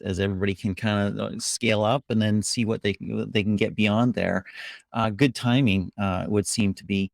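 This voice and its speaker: A man's voice: on the low side, creaky, no room sound, studio quality. A middle-aged man hosting a tech show, asking curious, conversational questions from his home office over a video call.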